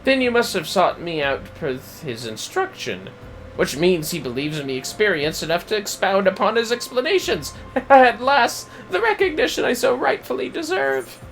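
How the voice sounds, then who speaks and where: A man voicing a pompous, excitable young male scholar from a fantasy video game, with florid, theatrical delivery. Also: high voice, normal speed, slight background noise, voice pushed up, heavily acted, poor audio. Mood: smug, delighted. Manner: grandiose, florid, alliterative, theatrical exclamations.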